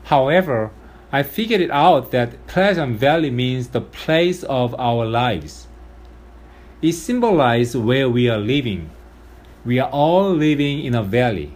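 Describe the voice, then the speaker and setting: A male pastor preaches with a strong Korean accent, speaking in an earnest, measured way. He lifts his voice for emphasis now and then. His pitch sits in an ordinary middle range for a man. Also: lively intonation, a little mellow. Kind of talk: sermon.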